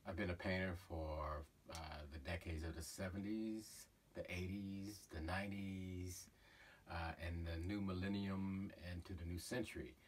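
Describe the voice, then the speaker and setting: A middle-aged man, a painter, talking casually about his own painting in a halting way with many fillers, filmed in a cluttered studio. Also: low-pitched, steady tone. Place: cluttered art studio indoors. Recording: voice from far off, faint room noise.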